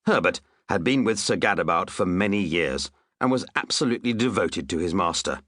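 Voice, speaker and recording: A man's voice: sits low, lively intonation, a little fast, a bit bright, no room sound. A man narrating a comic children's story with dry, wry asides. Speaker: audiobook narrator.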